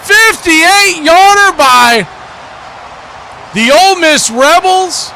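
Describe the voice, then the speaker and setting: A man's voice: very high-pitched, drawn-out words, pushed and bright, shouting, slight background noise. A man doing live play-by-play football commentary as the announcer.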